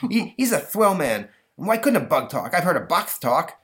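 A man's voice: a little high, sing-song, moderate pace, fairly bright tone, pitched above the performer's own voice. A man voicing an earnest, exasperated little boy who protests eagerly, for a comic story. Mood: defiant.

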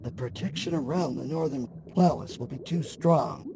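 A man playing a vain, grumbling wicked sorceress with an imperious, deliberate manner in a fantasy audio drama. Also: fairly high pitch, natural voice. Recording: noisy with ambient sound behind.

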